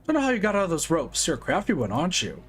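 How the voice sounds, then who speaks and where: A man's voice: fairly high pitch, huge pitch swings, very fast, fairly bright tone, breathy edge, faint music behind, heavily acted. A man voicing a smug, manipulative male villain who taunts with theatrical mockery. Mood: taunting. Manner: mocking and theatrical.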